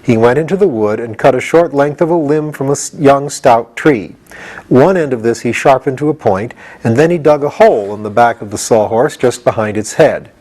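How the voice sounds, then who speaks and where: A man's voice: medium pitch, normal speed, darkish tone. A male audiobook reader with a mid-range voice. He delivers scripted narration of a children's storybook.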